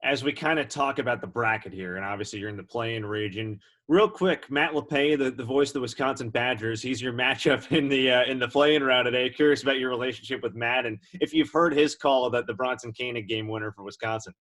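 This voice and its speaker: A man with a medium-pitched voice hosts a sports interview. He talks conversationally and lets his questions ramble in a chatty way. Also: brisk, hardly any pauses, fairly bright tone. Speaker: interview host.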